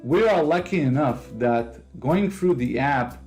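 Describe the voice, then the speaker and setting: A man from Israel whose first language is Hebrew, speaking English with an Israeli accent as he explains a software app step by step in a tutorial. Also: fairly high pitch, expressive, unhurried, soft background music, rough recording. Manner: explains step by step, non-native phrasing, occasional fillers. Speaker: host of a software tutorial channel. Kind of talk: screen-recorded software walkthrough.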